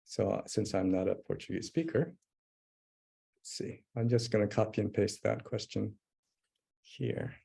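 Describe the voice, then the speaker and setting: Man presenting a software demo: slow, gravelly, mellow tone, thinks aloud. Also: on the low side, fairly even intonation. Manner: thinking aloud while typing, many fillers and restarts. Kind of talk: live webinar demo.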